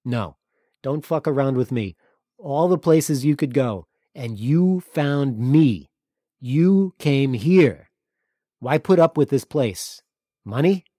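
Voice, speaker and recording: A man's voice: medium pitch, expressive, slow pace, warm tone, no room sound, studio quality, pitched above the performer's own voice. A man voicing a cynical, guarded Crimean local in an audiobook, speaking bluntly and emphatically. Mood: insistent probing. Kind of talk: audiobook character dialogue.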